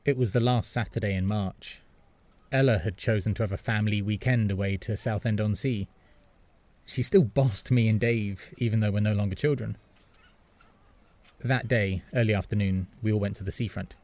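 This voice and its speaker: An actor playing a lonely, wistful man who narrates in the first person with a reflective, measured manner. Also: on the low side, steady tone, darkish tone, no room sound, natural voice. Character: melancholy adult brother narrating, lonely and wistful.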